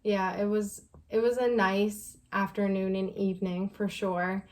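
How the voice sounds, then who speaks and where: A young adult woman co-hosting a vlog, telling a story casually in a relaxed, conversational way. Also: mid-range voice, a little slow. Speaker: vlog co-host.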